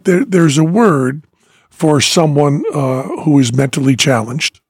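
Middle-aged guy with a faded new england accent, slightly higher husky voice, easygoing chatty host, laughs a lot. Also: measured pace, darkish tone, no echo, studio quality. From Western Massachusetts, New England. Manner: laughs often, trails off and restarts. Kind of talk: casual chat, storytelling.

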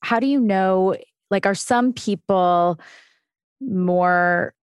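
A woman's voice: mid-range voice, drawn-out words, clear, resonant tone, no room sound, clean studio sound. A woman hosting an interview podcast, asking questions and commenting in a casual, chatty way. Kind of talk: conversational interview.

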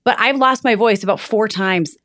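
A woman guest on a podcast, hoarse from losing her voice, talking in a playful, animated way. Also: mid-range voice, normal speed, no room sound. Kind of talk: casual podcast conversation.

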